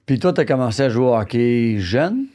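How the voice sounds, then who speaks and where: A man's voice: medium pitch, lively intonation, moderate pace, dark tone. A man with a Québécois accent hosting a casual interview, talking loosely and slipping English slang into his French. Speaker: podcast host.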